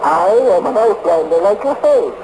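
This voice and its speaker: An actor playing a gruff, fond old hermit with folksy, old-fashioned lilting speech in a vintage radio drama. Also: male voice, high voice, lively intonation, normal speed. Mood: earnest, confiding. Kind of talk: 1940s radio acting. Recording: noisy old radio serial recording.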